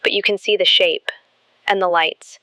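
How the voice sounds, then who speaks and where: A woman plays a shaken camper calling a late-night radio drama show. Her voice is bright, tense and clear in a dry recording. She talks in short, halting, anxious phrases. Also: medium pitch, steady tone, dry recording, lightly acted, rough recording. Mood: nervous. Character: shaken young female camper with troubling news.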